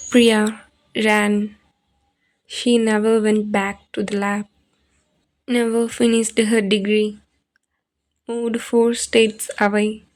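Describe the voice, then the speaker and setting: A woman playing a solemn, ominous storyteller, narrating scripted horror in a deliberate, flat way. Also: mid-range voice, lightly acted.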